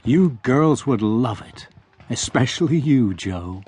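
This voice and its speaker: A middle-aged actor playing a loving, earnest father who voices his letter home in a radio drama, with a husky tone. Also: mid-range voice, expressive, slow, a little mellow. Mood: fond.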